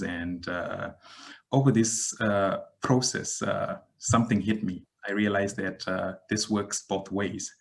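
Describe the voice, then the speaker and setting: Low, flat-voiced man from Zimbabwe. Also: creaky. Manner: measured, reflective, frequent "uh" pauses. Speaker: guest, digital transformation expert. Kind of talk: podcast interview answer.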